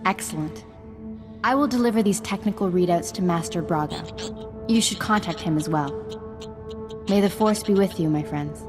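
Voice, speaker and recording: An actress playing an earnest, caring female Jedi ally in a video game, speaking in a formal, measured manner. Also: fairly low pitch, music in the background, close to an everyday voice. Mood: friendly, formal.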